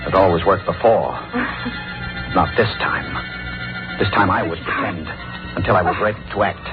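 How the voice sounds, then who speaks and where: An actor playing a respectable middle-aged judge, lovesick and coldly murderous, in a grave, deliberate 1940s radio drama style. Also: male voice, sits low, natural voice. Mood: calculating. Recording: muffled old radio recording with music.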